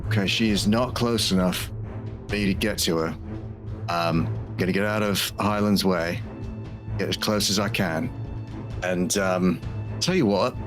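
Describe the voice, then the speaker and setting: A man's voice: low voice, unhurried, long pauses. A man playing a tabletop role-playing game, casually talking through his moves, with music behind him.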